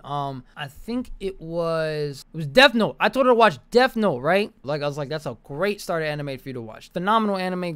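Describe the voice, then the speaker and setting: A man's voice: on the high side, fairly bright tone, clear, resonant tone. A young American man telling a casual story to his stream chat, slangy and profane, repeating phrases for emphasis, close to a desk mic. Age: young adult. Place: bedroom or basement room, indoors. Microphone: desk microphone close to the mouth, with headset.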